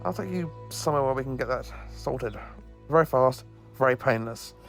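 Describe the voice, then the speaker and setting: A man's voice: mid-range voice, moderate pace, creaky, soft background music, lightly acted. A man playing an eccentric, kindly alien professor who travels through time, confident but given to hesitant asides in an audio drama. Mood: reassuring.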